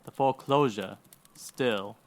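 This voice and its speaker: An actor playing a greedy, haughty land grabber in a radio western, speaking with cold, pompous indignation. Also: male voice, medium pitch, slow pace, noisy background, lightly acted. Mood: smug, cold. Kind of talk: old-time radio western villain acting.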